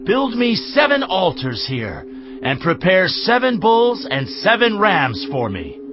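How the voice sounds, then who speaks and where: An actor playing a proud, fiery ancient prophet in a dramatised audio Bible, with declamatory theatrical delivery. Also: male voice, high voice, huge pitch swings, takes it slowly, full character voice. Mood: firm. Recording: dull audio under loud music.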